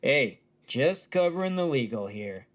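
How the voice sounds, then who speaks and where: A man's voice: medium pitch, very animated, unhurried. A man playing a brash, nerdy card-collecting hustler with a mind-reading trade, haggling in a businesslike way in an audio drama. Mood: unbothered, haggling.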